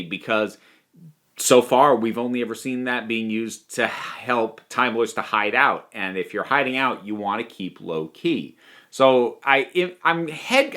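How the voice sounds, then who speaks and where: A man giving a casual spoken review as a video host, chatty and emphatic in an animated way. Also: medium pitch.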